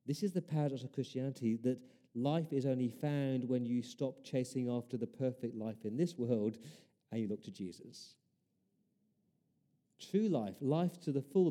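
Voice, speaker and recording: A man's voice: medium pitch, warm tone. A man preaching a sermon as pastor, earnest, building his points through repeated rhetorical questions.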